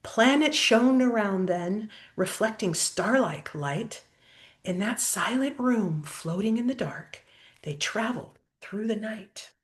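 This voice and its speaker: A woman's voice: medium pitch, expressive, slow pace. An older woman reading a children's picture book aloud expressively, like a grandmother pointing out pictures to a child.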